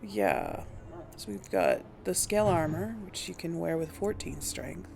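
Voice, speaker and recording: A woman's voice: on the low side, unhurried, creaky, darkish tone, a little hiss or hum. A woman hosting a gaming video, giving casual playthrough commentary and musing aloud hesitantly.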